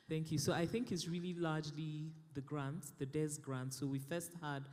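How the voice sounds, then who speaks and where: A man on a conference panel answering in a relaxed way, joking with the audience. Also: fairly high pitch, measured pace. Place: conference hall. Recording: long hall reverb.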